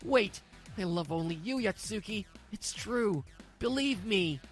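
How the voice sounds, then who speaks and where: A woman's voice: mid-range voice, lively intonation, measured pace, faint music behind, close to an everyday voice. A woman voicing a self-pitying, evasive young husband in a dubbed manga drama, stammering and pleading his excuses. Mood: desperate.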